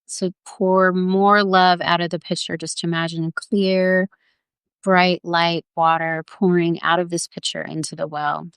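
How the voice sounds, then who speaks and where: A woman's voice: fairly low pitch, little pitch movement, a little slow, a bit bright, clean tone, dry recording. A woman leading a guided visualization in a tender, earnest, coaxing way, improvising as she goes and repeating her phrases. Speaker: guided meditation leader.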